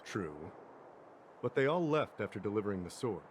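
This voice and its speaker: A man's voice: on the low side, big pitch swings, moderate pace, slight background noise. An actor playing a firm, methodical male investigator in a video game.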